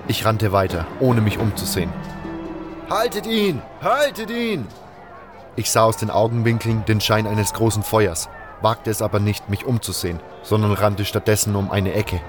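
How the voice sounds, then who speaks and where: A man's voice: on the low side, steady tone, normal speed, over music. A man reading scripted first-person narration for a fantasy fan audio drama.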